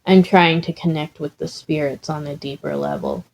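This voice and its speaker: A solemn teenage boy, voiced by a woman, low-key and deadpan. He murmurs drowsily in an audio story, never getting worked up. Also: moderate pace, heavily acted, low-quality recording. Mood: drowsy, flat.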